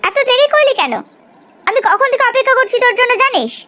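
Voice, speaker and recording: Cartoon jealous young friend, woman performer: extremely high voice, accusing, melodramatic, combative delivery. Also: big pitch swings, fast talker, pushed and bright, full character voice. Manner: confrontational, dramatic.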